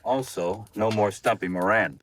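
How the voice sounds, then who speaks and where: A man's voice: lowish voice, normal speed, a bit bright, natural voice. Man acting blunt radio cop, noisy recording. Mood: matter-of-fact. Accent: American. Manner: blunt, folksy. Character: blunt, matter-of-fact male arson squad policeman. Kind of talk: 1950s radio drama acting.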